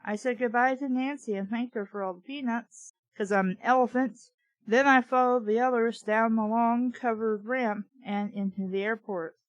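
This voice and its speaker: Woman, higher-pitched voice, story reading, casual sarcastic commentary, exasperated asides, dry sound. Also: fairly even intonation, moderate pace, no room sound, highs cut off. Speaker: book reader and commentator.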